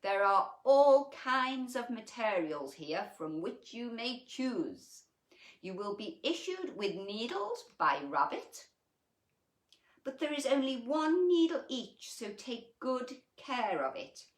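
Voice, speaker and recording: A woman's voice: a little high, roomy sound, pitched above the performer's own voice. A woman voicing a pompous, bossy male elephant who formally issues orders in a storybook. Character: pompous old-style bossy male elephant leader addressing animals.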